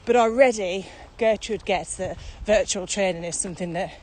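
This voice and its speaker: A woman's voice: mid-range voice, normal speed. A woman co-hosting a podcast in casual, conversational chat, thinking aloud as she talks. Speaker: podcast co-host. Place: walking outdoors across open fields. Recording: outdoor recording with steady ambient noise. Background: outdoor ambience.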